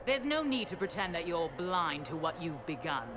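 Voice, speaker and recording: Stern, imperious commander, noisy. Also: female voice, on the low side, very animated, moderate pace. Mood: stern, menacing. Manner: formal, declamatory. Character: stern, imperious Qunari woman commander, menacing zealot. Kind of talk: video game voice acting.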